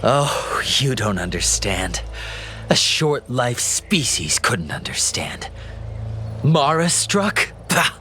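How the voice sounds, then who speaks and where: Creepy gleeful cult fanatic in a game, slow and theatrical, voice swoops all over, bit of music behind. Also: male voice, medium pitch, big pitch swings, bright, forceful tone, full character voice. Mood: sly, taunting. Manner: theatrical, giggling, lingering reverently over words. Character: fanatical sly cultist prisoner, gleefully unhinged, worships an eon. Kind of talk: dramatic video game voice acting.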